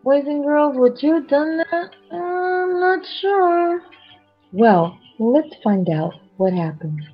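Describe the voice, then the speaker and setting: A woman storyteller with a husky voice reads a children's bedtime story expressively, with chatty asides to young listeners. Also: high-pitched, lively intonation, slow, soft background music. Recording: dull, lo-fi sound.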